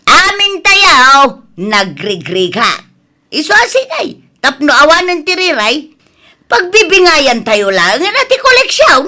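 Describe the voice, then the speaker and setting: A woman plays a lively mother-in-law in an Ilocano comedy radio drama. Her voice is bright and sharp, rather high, and swings widely as she exclaims. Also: slow pace, heavily acted. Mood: bossy.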